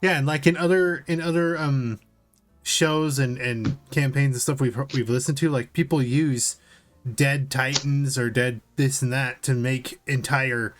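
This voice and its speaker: Guy co-hosting a podcast, loose and questioning, thinking out loud, music behind him. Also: medium pitch, fairly even intonation, a little slow. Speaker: podcast co-host reacting to a video. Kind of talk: casual chat.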